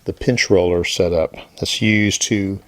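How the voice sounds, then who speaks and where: A man's voice: low voice, fairly even intonation, unhurried. A man giving a casual repair demonstration, talking through each step as he works. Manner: talks through each step while working. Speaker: repair channel host.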